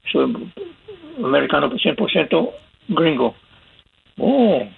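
Raspy older man on a tinny line. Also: on the high side, very animated, slow pace. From California, USA. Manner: plain-spoken, laughs while telling stories. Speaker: immigration advice guest. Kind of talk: casual radio advice talk. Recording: tinny phone-line sound.

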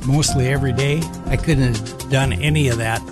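A man giving an ad testimonial in plain, halting everyday talk. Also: mid-range voice, fairly even intonation, music in the background. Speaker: patient testimonial. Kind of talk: unscripted testimonial.

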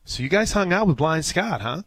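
Easygoing folksy radio host. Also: male voice, a little high, sing-song, moderate pace, fairly bright tone, no echo. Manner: easygoing storyteller, folksy asides. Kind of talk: live radio talk show.

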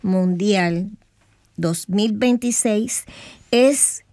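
A woman co-hosting a talk show who speaks slowly and unhurriedly, chiming in with short lively remarks. Her voice sits at a middle pitch and sounds dry and close to the mic. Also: no echo. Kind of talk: casual chat.

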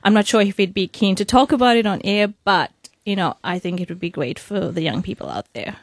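Mum-aged woman guest on radio, mid pitch, chatting personally, very dry mic. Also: expressive, brisk, no room sound. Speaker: caller and studio guest, a mother. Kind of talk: personal conversation.

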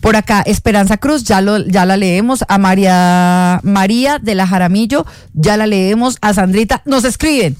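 A woman co-hosting a chat show, animated and talking straight to viewers. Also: medium pitch, moderate pace, clean tone, no echo, studio quality. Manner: chatty, animated, addresses viewers directly. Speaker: co-host.

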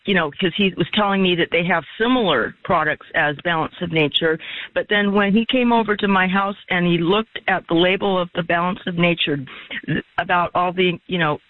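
A woman's voice: fairly low pitch, no echo. A mature woman tells an anecdote as a customer testimonial. She chats casually on a dry, narrow telephone-like line. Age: middle-aged or older.

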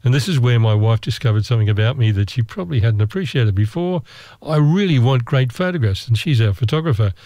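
A man telling a travel story in a wry, self-mocking, measured way. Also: medium pitch, lively intonation, mellow, dry recording. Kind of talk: casual storytelling.

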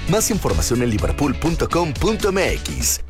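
Lively upbeat woman ad voice. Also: deep voice, normal speed. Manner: upbeat, promotional. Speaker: ad voice-over. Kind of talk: commercial read. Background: music bed.

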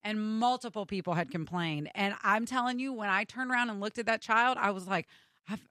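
An American woman, young adult, telling an animated, exasperated comic story in casual chat. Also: mid-range voice, a little fast, dry recording, clean studio sound. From United States. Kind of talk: casual storytelling on a radio show.

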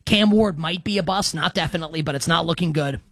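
A man with a high, light voice co-hosts a sports betting show, joking at his own expense and calling plays as they happen. The sound is dry and close. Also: quick pace, no echo. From Greek heritage. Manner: jokey, self-deprecating, calls plays live. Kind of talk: casual sports betting chat with play-by-play.

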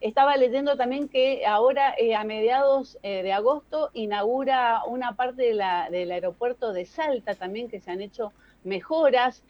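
A woman's voice: fairly high pitch, moderate pace, hardly any pauses, dry recording, dull audio. An Argentine woman giving conversational news commentary, explaining things and addressing listeners directly. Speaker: radio or TV news columnist.

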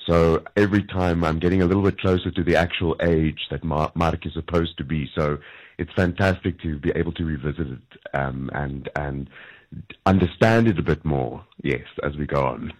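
Deep-voiced South African actor. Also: male voice, low-quality recording. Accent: South African English. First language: Afrikaans. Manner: thoughtful, uses many ums. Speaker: actor guest. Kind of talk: interview answers.

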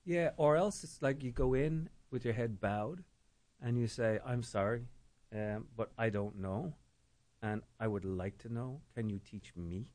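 A man's voice: medium pitch, measured pace, darkish tone. A middle-aged man, a novelist being interviewed, speaking thoughtfully and often repeating words as he searches for them. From Ireland. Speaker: novelist guest. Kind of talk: reflective interview talk.

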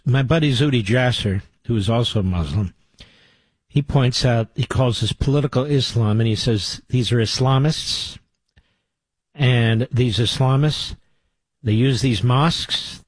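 A man's voice: medium pitch, breathy edge, dry recording, rough recording. A man hosting a talk radio show and taking calls, emphatic and opinionated. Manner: emphatic, opinionated, rapid-fire.

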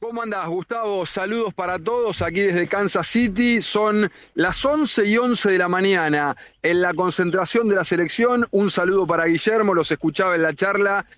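A man's voice: sits high, moderate pace, fairly bright tone. A man with an Argentine accent reporting live on sports as a correspondent, over a remote link. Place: remote live report from outside a team base. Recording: roomy, dull remote link.

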